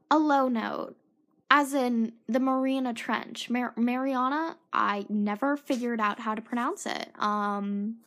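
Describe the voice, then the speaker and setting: A woman's voice: high-pitched, sharp tone. A teenage girl hosting her own podcast, rambling and self-mocking, with a sore throat and a voice that drops into whispered asides. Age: teens. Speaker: podcast host. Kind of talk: rambling solo podcast chat.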